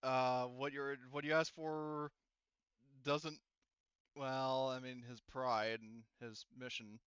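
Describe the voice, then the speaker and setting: A man refereeing a casual tabletop game as game master, halting and thinking aloud as he talks. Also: medium pitch, little pitch movement, a bit bright, smooth, no room sound, muffled recording.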